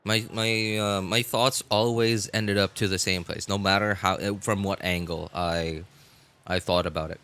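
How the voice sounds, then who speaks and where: A man livestreaming games in casual chat, talking in a halting, rambling way and often repeating himself. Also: low voice, steady tone, a bit bright, clean tone. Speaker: live game streamer. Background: music.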